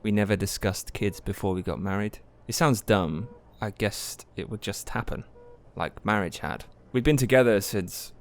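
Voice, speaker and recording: A man acting a wry married schoolteacher in an audio play. He talks in a confiding, conversational way and gently mocks himself, sounding like someone sharing his private life with a listener. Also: lowish voice, normal speed, natural voice. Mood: reflective, earnest. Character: wry, ailing married male English teacher, self-deprecating. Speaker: actor narrating an audio play. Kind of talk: audio drama monologue and dialogue.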